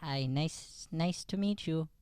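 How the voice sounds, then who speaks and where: Man playing a timid Irish schoolboy, clear voice. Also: fairly high pitch, slow pace, darkish tone, dry recording, studio quality. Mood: nervous, shy. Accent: Irish, put on. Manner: hesitant, stammering. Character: timid, socially anxious young Irish schoolboy. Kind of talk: improvised old-time radio comedy play.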